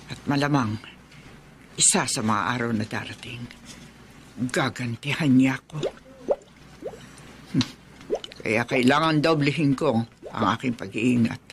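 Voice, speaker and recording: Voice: mid-range voice, background noise, close to an everyday voice. An actor playing an old village healer woman in a radio horror drama, groaning and crying out in pain.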